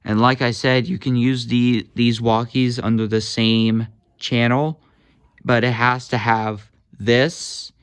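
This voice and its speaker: A man's voice: medium pitch, flat delivery, slow pace, clean tone, no echo. A man casually demonstrating walkie-talkies, hesitant, with many fillers and corrections of himself. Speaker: gadget reviewer.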